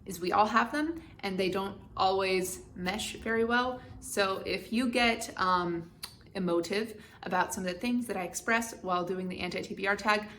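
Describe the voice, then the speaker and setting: Young woman book vlogger, frank and opinionated with a dry wit, mid pitch. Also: moderate pace, a bit bright, slight background noise. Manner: candid, opinionated, wry. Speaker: BookTube channel host. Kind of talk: casual book tag vlog.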